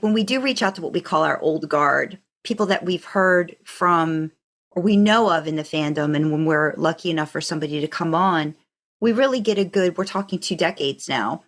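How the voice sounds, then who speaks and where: Lower-pitched woman, rambling podcast chat. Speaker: podcast co-host. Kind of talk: casual podcast chat about a fandom.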